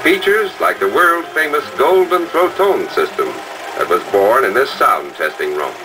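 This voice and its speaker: A man's voice: medium pitch, animated, normal speed. A man narrating a 1950s promotional film in a formal announcer style. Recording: distant, muffled old film sound with clear music.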